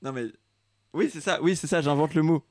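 A young man hosting a casual chat, relaxed and self-mocking, laughing often. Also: fairly high pitch, fairly quick, dry recording. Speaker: host. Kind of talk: casual chat, reading his old comments aloud.

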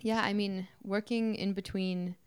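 A musician from Canada answers interview questions, hesitant and full of fillers. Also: female voice, mid-range voice, fairly even intonation, measured pace, clear, resonant tone.

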